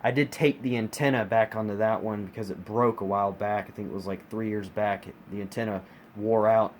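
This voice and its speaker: A man's voice: on the low side, steady tone, fairly quick. A man from the United States giving a casual, emphatic hobbyist product review, repeating words for stress. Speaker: collector reviewing his weather radios. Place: carpeted room at home. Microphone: handheld phone camera, speaker off screen. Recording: noisy home phone-camera recording.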